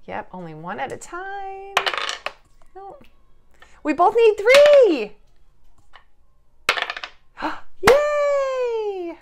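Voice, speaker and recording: Animated, encouraging woman teaching kids, background noise. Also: very high-pitched, extremely slow, long pauses. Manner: encouraging, exclaiming. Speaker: preschool teacher and host. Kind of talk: casual children's lesson while playing a game. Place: indoors at a tabletop.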